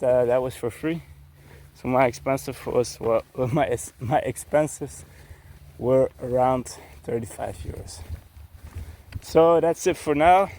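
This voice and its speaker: Slow-talking man. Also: medium pitch, mellow. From Belgium. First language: Dutch. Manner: chatty and unhurried, with many fillers and restarts. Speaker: vlogger. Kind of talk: casual travel vlog. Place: outdoors on a rural hiking trail. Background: faint outdoor ambience.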